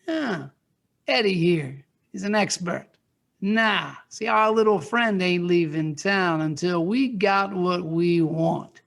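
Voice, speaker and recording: A man playing a sly, murderous outlaw gunman in a western audio drama, with an American Western drawl and gravelly, mocking delivery. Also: high voice, takes it slowly, fairly bright tone, heavily acted. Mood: sly menace.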